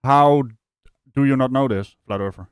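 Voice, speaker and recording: A man from the Netherlands hosting a live stream, reacting to a clip with animated, mocking commentary and frequent laughs. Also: mid-range voice, normal speed, clean tone, dry recording. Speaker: streamer host.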